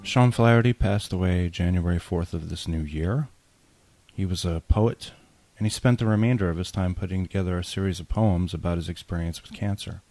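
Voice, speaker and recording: A man's voice: deep voice, fairly quick, dark tone, dry recording, faint music behind. A man hosting a podcast, speaking candidly and reflectively in a personal spoken tribute. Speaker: podcast host.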